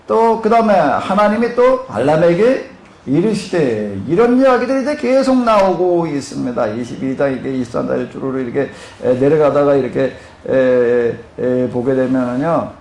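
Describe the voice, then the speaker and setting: Male pastor, Jeolla accent, rambling lecture style with questions to the audience; gravelly, slightly high voice, echoey room. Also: lively intonation, moderate pace, darkish tone. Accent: Korean Jeolla dialect. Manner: rambling, many fillers, asks the audience questions. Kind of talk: Bible seminar lecture. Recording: echoey room with faint noise.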